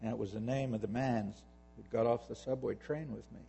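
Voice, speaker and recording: A man telling a personal story out loud, halting and repeating words as he goes, with a long hall reverb around his voice. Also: medium pitch, steady tone, gravelly, slight background noise.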